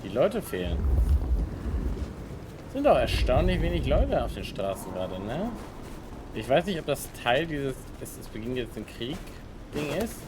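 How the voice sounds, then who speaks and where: Musing male streamer, mid-pitched voice. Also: sing-song, moderate pace, long pauses, noisy background. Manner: musing, thinking aloud. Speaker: game streamer. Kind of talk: casual live-stream commentary in German. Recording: game music behind the voice. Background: video game music.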